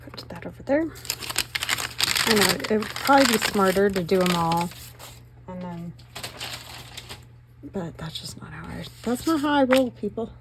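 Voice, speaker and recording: A middle-aged woman from northern Nevada, slightly hoarse, chatting and joking at herself while she crafts. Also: mid-range voice, animated, moderate pace, long pauses, noisy background. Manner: rambling, self-mocking, talks to viewers while working. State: slightly hoarse, losing her voice. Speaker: craft channel host.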